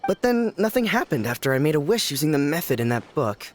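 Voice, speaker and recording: A man voicing a chatty townsperson in a video game, speaking in a relaxed, musing way. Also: fairly high pitch, fast, slight background noise.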